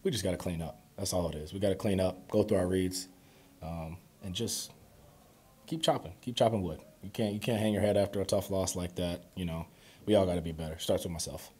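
Low, mellow young man at a podium. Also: husky. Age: 20s. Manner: calm, measured, filler-heavy replies. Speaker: quarterback at a postgame press conference. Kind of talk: postgame press conference answers. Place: press conference room before a sponsor backdrop. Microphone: podium microphones.

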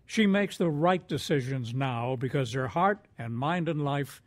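A man's voice: mid-range voice, expressive, unhurried, no room sound. A man giving scripted old-time radio narration as a drama announcer, in an earnest, dramatic way.

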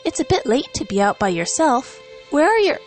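A woman voicing a kindly young female pony princess, gentle and concerned, in a dramatic story reading. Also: sits high, sing-song, normal speed, faint music behind, voice pushed up. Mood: apologetic concern.

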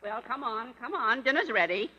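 An actress playing a doting, fussy elderly aunt in a 1930s radio drama, gushing and homespun. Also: female voice, fairly high pitch, expressive, normal speed, pushed and bright, husky, highs cut off, exaggerated voice. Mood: bustling. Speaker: Aunt Etta.

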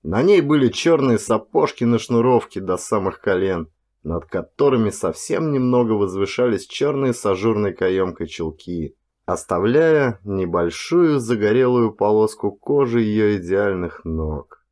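A man reading a first-person story as an audiobook narrator, in an expressive, dramatic storytelling manner. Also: fairly low pitch, unhurried.

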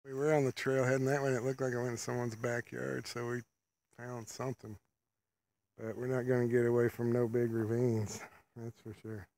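Laid-back gravelly man hiking in woods. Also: medium pitch, flat delivery, a little fast, a little mellow. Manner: laid-back, rambling, folksy talk. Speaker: vlogger. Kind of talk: casual hiking commentary. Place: wooded ravine outdoors. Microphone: handheld camera while walking.

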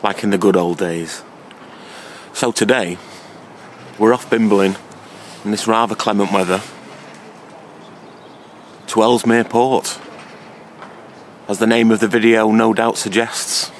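A man in his thirties talks to a close camera outdoors, his voice thickened and lowered by a cold. He is chatty and wry, with a low-pitched tone and a faint hum of the surroundings behind him. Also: fairly even intonation, unhurried, pauses often. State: has a cold, deeper voice than usual. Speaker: cycling vlogger. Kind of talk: casual travel vlog to camera. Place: outdoors at a railway station and canal. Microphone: camera close up at arm's length.

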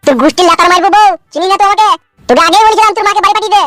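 A man's voice: extremely high pitch, pushed and bright. A man voicing an exasperated, crude husband who scolds in a comedy cartoon. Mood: exasperated, scolding. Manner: scolding, crude, rapid-fire. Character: foul-mouthed, exasperated husband ranting about his runaway mother-in-law. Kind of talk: amateur comedy cartoon dubbing.

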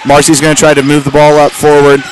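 A man with a loud voice giving halting, amateur live play-by-play of a basketball game. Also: on the high side, monotone. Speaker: play-by-play sports commentator. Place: large indoor school gymnasium during a game. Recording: noisy gym crowd and bouncing ball. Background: crowd noise, basketball bounces.

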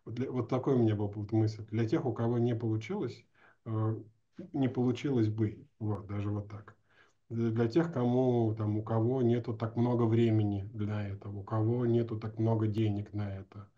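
A man's voice: on the low side, steady tone, moderate pace, mellow. A man in his forties talking casually and informally in an interview, thinking aloud in slangy language. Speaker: animation teacher. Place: indoors at home or office, on a video call. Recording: dull lo-fi video call audio.

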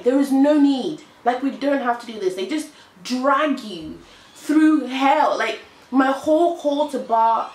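A woman's voice: medium pitch, roomy sound, low-quality recording. Chatty English woman vlogging to camera. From United Kingdom. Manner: animated, frank, exasperated storytelling with hand gestures. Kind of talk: casual chat to camera. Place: small indoor room, on a sofa.

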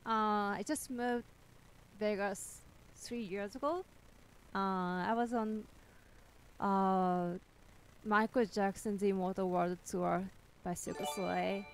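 A woman's voice: mid-range voice, fairly even intonation, slow pace, long pauses, low-quality recording. A young woman from Japan with a Japanese accent, chatting hesitantly to a livestream audience with many ums and small laughs. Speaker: cellist streaming with a co-host. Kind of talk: casual livestream chat. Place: home music studio room. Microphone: headphones on, studio mic on a stand.

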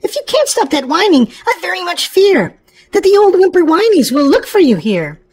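A woman's voice: very high voice, sing-song, normal speed, voice pushed up. A woman voicing a stern, scolding mother rabbit in a storybook, firm and lecturing. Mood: warning.

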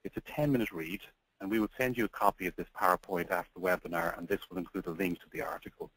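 Deep, bright-toned man hosting a webinar. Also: fast talker, no room sound, rough recording. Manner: reads prepared points, then hands over politely. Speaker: webinar host. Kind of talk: scripted webinar presentation.

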